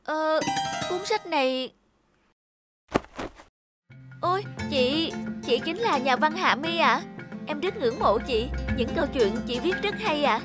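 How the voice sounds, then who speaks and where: Cartoon schoolgirl, earnest, emotional, high voice, eager delivery, music underneath. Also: animated, slow, pauses often. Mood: admiring, eager. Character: earnest schoolgirl dreaming of becoming a writer. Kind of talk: animated cartoon dubbing.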